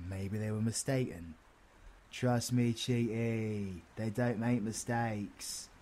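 A man's voice: fairly low pitch, steady tone, takes it slowly, dark tone, slight background noise. A British man playing a bossy, cheeky supervillain in an audio roleplay, swearing and teasing in slangy banter. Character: cheeky, foul-mouthed, bossy male supervillain.

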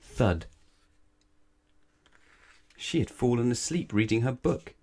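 A man's voice: medium pitch, lively intonation, slow pace, darkish tone. A man reading a children's bedtime story aloud, dramatically, stretching and stressing words. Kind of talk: expressive read-aloud bedtime narration.

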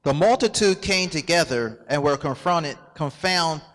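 A man's voice: a little high, measured pace. Man reading scripture aloud in a hall. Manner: reads verses aloud in plain steady phrases. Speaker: scripture reader. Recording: long echo of a large hall.

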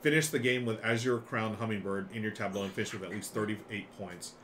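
A middle-aged man with a fairly low voice hosts a board game stream. He talks in a chatty, enthusiastic, off-the-cuff way, with clear noise in the recording. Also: fairly quick. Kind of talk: casual live-stream chat and game demonstration. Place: small room lined with board games. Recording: clear steady background noise.

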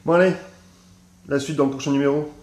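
A man's voice: a little high, steady tone, fast talker, darkish tone. Guy in his 40s rambling to his phone cam, lots of ums, roomy echoey sound. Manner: chatty, hesitant, frequent fillers. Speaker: survival gear vlogger. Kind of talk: casual show-and-tell vlog. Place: small indoor room. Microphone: phone camera at arm's length.

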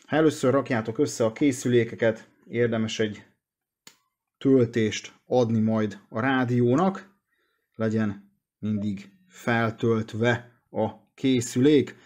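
A man's voice: mid-range voice, steady tone, darkish tone. A man presenting a casual, hands-on product unboxing and walkthrough.

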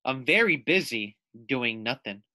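A man voicing a small male lizard in a storybook, calm and unbothered, speaking in a slow, measured way. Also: mid-range voice, no echo.

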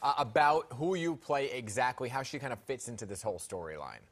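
A man's voice: mid-range voice, expressive, brisk. A man in his thirties anchoring a morning news show from a studio, interviewing a guest in a chatty, enthusiastic way.

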